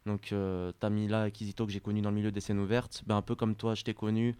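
A man, a rapper guest on a radio show, talking in a relaxed, easygoing, halting way. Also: on the low side, clean tone, dry recording. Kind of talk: casual radio chat.

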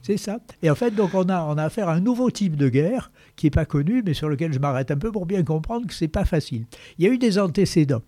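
Explaining Frenchman with a mellow voice. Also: fairly high pitch, animated, fast talker, hardly any pauses, no room sound. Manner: long explanatory turns, frequent "hein" and "voilà". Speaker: radio commentator. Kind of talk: explanatory radio talk.

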